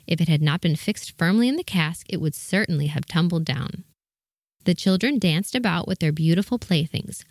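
Woman reading a kids story as narrator, lowish voice, dry close sound. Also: lively intonation, normal speed, a little mellow, no echo.

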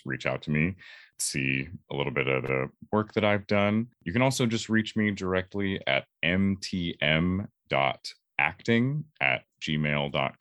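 A man's voice: sits low, a bit bright, no echo. A young man, a voice actor, talking casually as a guest in an interview. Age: young adult.

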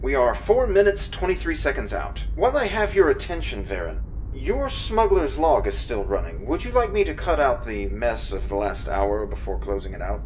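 Slightly noisy, muffled audio carries a snarky shipboard computer, acted by a man. The delivery is very animated, teasing and dryly obliging, in the manner of a science-fiction radio play. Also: medium pitch, moderate pace, natural voice. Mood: dryly helpful. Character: cheeky, snarky male ship computer assistant. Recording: dull lo-fi sound with noise.

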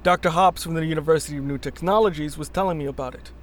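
Man playing a higher-voiced, stubborn wounded rebel agent. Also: background noise, lightly acted. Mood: insistent, reasoning. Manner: insistent, measured explaining. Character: injured, stubborn but reasoning male rebel agent. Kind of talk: sci-fi radio drama acting.

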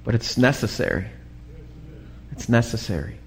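A male pastor with an American accent and a slightly raspy voice, preaching a sermon and often checking in with short questions to the congregation. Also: medium pitch, expressive, moderate pace. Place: church, preaching live. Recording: live church recording, long hall reverb.

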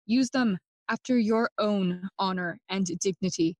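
A woman playing a bitter, grieving young prince in a bilingual classical audio play, speaking theatrical, deliberate verse. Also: mid-range voice, no room sound, close to an everyday voice. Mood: wry, courteous. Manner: theatrical, deliberate, switching between English and Spanish.

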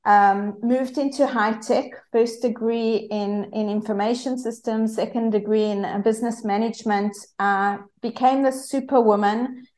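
A woman's voice: fairly high pitch, fairly even intonation, a little slow, few pauses, darkish tone. South African woman telling her story candidly. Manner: candid, reflective, frequent fillers. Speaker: guest mindfulness coach. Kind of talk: personal storytelling in interview.

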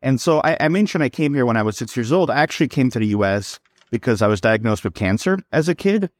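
A middle-aged man tells his founder story in a steady, mid-pitched voice, picking his words as he goes and repeating a few of them. The sound is dry, with no room echo around him. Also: fast talker. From born in Serbia, raised in New York. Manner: fluent storytelling with frequent word repeats. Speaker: company founder, guest. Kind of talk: podcast interview answers.

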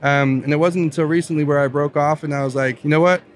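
A man's voice: on the high side, fairly even intonation, fast talker. A man in his late twenties from Southern Virginia, a guest telling his career story in a casual podcast chat, upbeat and laughing often. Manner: upbeat, chatty, laughs often, says "right?".

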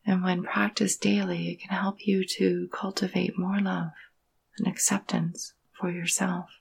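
A woman leading a guided meditation in a soft, breathy, husky voice, gentle and soothing, with long pauses between phrases. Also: lowish voice, little pitch movement. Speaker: yoga nidra meditation guide.

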